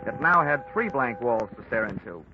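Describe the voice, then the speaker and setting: A man's voice: medium pitch, lively intonation, normal speed, music in the background, lightly acted. A man playing a wisecracking American investigator. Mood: dry. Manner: dry, wisecracking. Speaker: narrator and lead. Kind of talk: 1940s radio acting. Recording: noisy lo-fi old radio recording.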